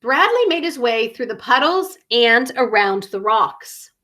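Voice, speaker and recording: A woman's voice: a little high, big pitch swings, unhurried, pushed and bright. A woman reading a children's story aloud, dramatically and with careful emphasis on sound words.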